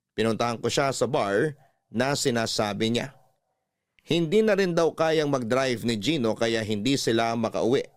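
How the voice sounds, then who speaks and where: A man's voice: mid-range voice, no echo, studio quality. A man narrating a scripted first-person story as a radio drama host. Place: dry studio with music behind.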